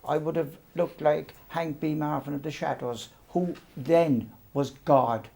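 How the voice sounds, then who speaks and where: A man's voice: on the high side, fairly even intonation, measured pace, vocal fry, mellow. A man in his seventies with a Cork Irish accent, telling rambling, self-deprecating stories from his youth as an interview guest, with many ums and restarts. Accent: Cork Irish, softened by years in London. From Cork, Ireland. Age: 70s. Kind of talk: storytelling reminiscence.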